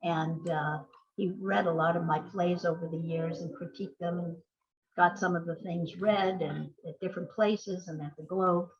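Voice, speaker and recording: A woman's voice: lowish voice, steady tone, normal speed. An older woman who works as a playwright, giving reflective, anecdotal answers in a conversational interview. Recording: dull, lo-fi voice recording.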